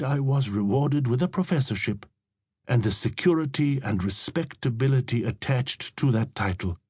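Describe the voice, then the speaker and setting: A man narrating a literary audiobook as a reflective elderly German-born novelist, in a measured, formal manner. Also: on the low side, a little mellow, no room sound, studio quality. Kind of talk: scripted first-person literary narration.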